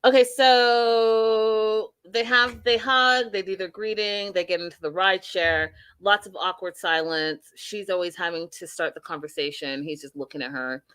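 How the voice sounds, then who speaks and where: A woman's voice: mid-range voice, steady tone, measured pace, a bit bright, smooth, no room sound. A woman hosting a casual reality TV recap, chatty and emphatic, talking straight to her viewers. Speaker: host.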